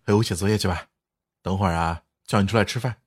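A man acting a husband and stepfather in a Chinese audio drama, who can be cutting or gentle. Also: low voice, quick pace, no room sound, studio quality. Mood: doting, gentle. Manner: bitter and cutting to wife, gentle with child. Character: betrayed husband and doting stepfather, adult man.

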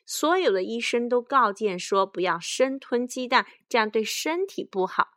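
Woman as storybook mother hen, protective, caring, earnest, slightly raised pitch. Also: expressive, normal speed, fairly bright tone, dry recording, clean studio sound.

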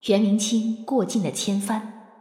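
A woman's voice: mid-range voice, steady tone, unhurried, warm tone. A woman reading scripted, dramatic narration for a radio drama. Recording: echoey reverb with faint music.

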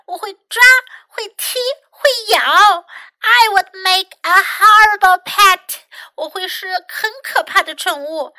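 Storybook critter, played by a woman: small, loud, indignant, high-pitched and piercing, emphatic delivery. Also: sing-song, dry recording, full character voice. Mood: indignant yelling. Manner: yelling, emphatic, drawn-out. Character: small excitable animal Mac, loud and indignant.

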